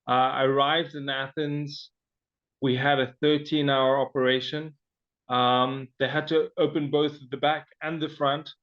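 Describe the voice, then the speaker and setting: A man's voice: mid-range voice, flat delivery, measured pace, lo-fi sound. A man from Zimbabwe, an interview guest, telling his personal story with frequent fillers and restarts.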